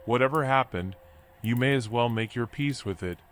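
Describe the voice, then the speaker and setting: A man's voice: medium pitch, moderate pace, close to an everyday voice. A man voicing a laid-back, casually sympathetic animal stranger in a noir video game, speaking in an offhand way.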